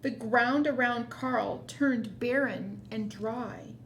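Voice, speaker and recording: A woman hosting storytime, reading a children's picture book expressively, with quiet asides. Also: a little high, slow pace, slight background noise. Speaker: storytime host.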